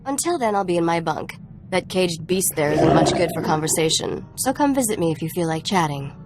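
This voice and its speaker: Low-voiced sardonic smuggler woman. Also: big pitch swings, a little fast, heavy background noise. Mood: cool, guarded. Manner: dry, sarcastic, self-assured. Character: tough, sardonic woman smuggler partner, cool and haughty. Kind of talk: video game voice acting.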